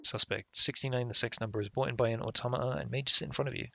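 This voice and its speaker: A man's voice: medium pitch, fairly even intonation, racing, gravelly, pushed and bright, slight background noise. A man live-streaming a game, reading its text aloud and adding casual, sweary, offhand comments, with game music behind him. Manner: casual, profane, offhand asides.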